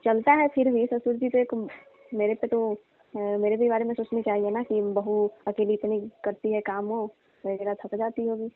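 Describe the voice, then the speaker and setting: A weary, argumentative young daughter-in-law acted by a woman complains and pleads in a conversational phone skit. Her voice sits fairly high with little melodic movement, heard over a narrow, muffled phone line. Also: flat delivery, moderate pace, close to an everyday voice. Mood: weary, pleading. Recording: dull, band-limited phone call recording.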